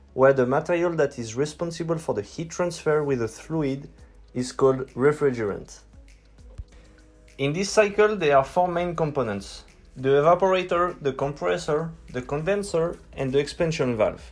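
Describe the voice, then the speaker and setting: Male host, strong French accent, calm explainer voice-over, soft music behind. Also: on the high side. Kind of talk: scripted explainer narration.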